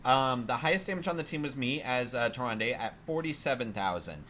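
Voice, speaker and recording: A man's voice: mid-range voice, lively intonation, brisk, sharp tone. A man hosting a gaming podcast in casual, conversational chat. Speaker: podcast host. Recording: clearly noisy amateur podcast recording.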